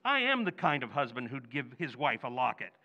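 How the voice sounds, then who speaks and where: A man's voice: mid-range voice, sing-song, brisk, lo-fi sound. A man playing a bumbling, blustering working-class husband in an old-time radio comedy, exclamatory and folksy in grammar. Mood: indignant, pleading.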